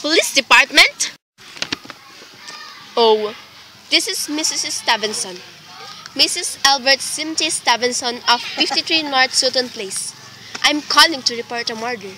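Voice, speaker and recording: A woman acts out an anxious, demanding wife in a radio drama. Her voice is high and very sharp, insistent and indignant in delivery. Faint noise sits behind the recording. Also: measured pace, pauses often, poor audio. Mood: agitated, pleading. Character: anxious, imperious, exasperated invalid wife reporting a murder plot. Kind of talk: amateur radio play acting.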